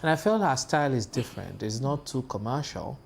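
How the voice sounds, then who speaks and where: A Nigerian man in his thirties co-hosts a studio talk show. He speaks haltingly, filling the gaps with "uh" and "um" and restarting his sentences. Faint noise sits under the voice. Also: mid-range voice, lively intonation, vocal fry. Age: 30s. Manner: hesitant, many fillers and restarts. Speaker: co-host. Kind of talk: casual panel chat. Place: television studio.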